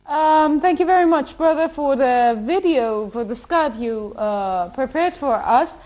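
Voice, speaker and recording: A woman's voice: high voice, expressive, unhurried, dull audio. A woman hosting a studio discussion who addresses guests politely and formally. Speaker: host.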